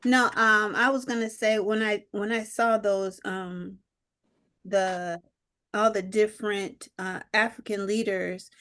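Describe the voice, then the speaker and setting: A woman on a video call, thinking aloud in a group discussion, with many pauses, fillers and restarts. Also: mid-range voice, fairly even intonation, fairly bright tone. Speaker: discussion participant.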